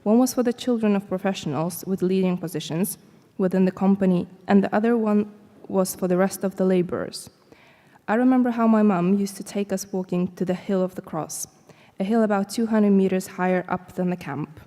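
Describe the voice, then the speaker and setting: A woman's voice: medium pitch, a little fast, dark tone. Woman reading aloud in an echoing hall. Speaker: student reading a text aloud. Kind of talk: reading a prose text aloud. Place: lecture hall. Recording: echoey lecture hall.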